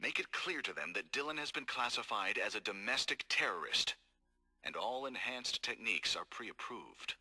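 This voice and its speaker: A man voicing a cold, scheming corporate executive in game audio logs, calm, measured and formal. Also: lowish voice, faint music behind, heavily acted. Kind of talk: recorded game audio log.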